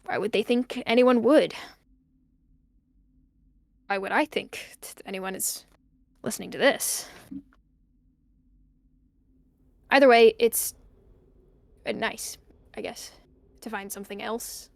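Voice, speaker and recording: A woman's voice: a little high, vocal fry, no echo. A young woman playing a lonely, bookish student recording a hesitant, reflective audio diary for an audio drama. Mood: wistful, self-conscious. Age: young adult. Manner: halting, reflective, trailing off. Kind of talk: intimate audio diary monologue.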